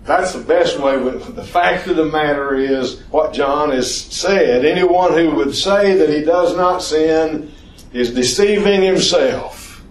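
A man teaching an informal Bible study lesson, talking slowly and haltingly with restarts and questions to the class. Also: fairly high pitch. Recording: echoey room.

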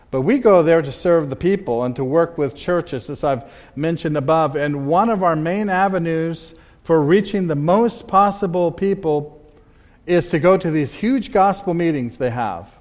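A man's voice: a little high, darkish tone. Man presenting slides in a reverberant hall. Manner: conversational, with restarts and pauses. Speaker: missionary speaker. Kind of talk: slide presentation to a church audience.

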